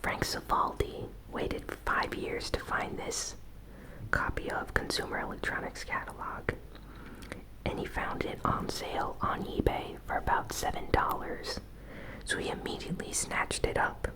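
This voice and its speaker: A soft, breathy whisper carries this ASMR host's news recap from start to finish. The delivery is unhurried and conversational, with hesitant phrasing as opinions are shared. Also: poor audio. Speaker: host of a gaming news show.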